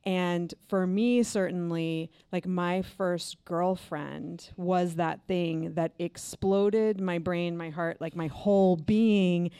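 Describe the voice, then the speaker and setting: A woman's voice: fairly low pitch, steady tone, slow pace, few pauses, warm tone, smooth, no room sound. A woman co-hosting a podcast, chatting effusively with many fillers. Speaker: podcast co-host. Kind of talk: casual chat.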